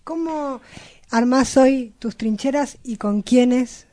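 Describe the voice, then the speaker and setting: A woman's voice: high voice, slow, warm tone, no echo. A woman with an Argentine accent co-hosting a casual radio interview.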